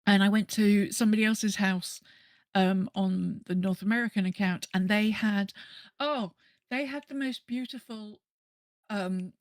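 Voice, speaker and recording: An enthusiastic woman hosts a gaming podcast in loose, rambling chat. Her pitch sits in the middle of the female range. The sound is dry, with very little room in it. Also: measured pace, no room sound. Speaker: podcast host. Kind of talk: casual podcast chat about a video game.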